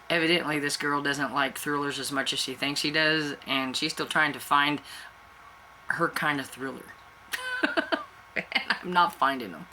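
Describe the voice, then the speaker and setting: Bright-voiced woman with a stuffy cold. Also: a bass voice, a little hiss or hum, rough recording. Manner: rambling and chatty, with many fillers and self-restarts. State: stuffy-nosed with a cold, throat dry and raspy. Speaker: book channel host. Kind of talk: casual chat to camera.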